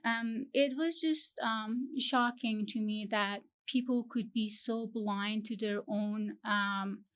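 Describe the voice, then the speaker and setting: Young iranian-born woman, slow careful talk full of ums, interview guest. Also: fairly high pitch, fairly even intonation, a bit bright. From Iran. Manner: halting, searching for words, frequent fillers. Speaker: guest, PhD student. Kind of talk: interview conversation.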